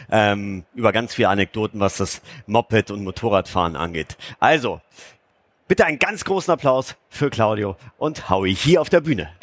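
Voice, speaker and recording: A man's voice: mid-range voice, a bit bright, dry recording. A man announcing a podcast session to a fair audience, cheerful and hyping the crowd. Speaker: stage announcer. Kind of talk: event announcement.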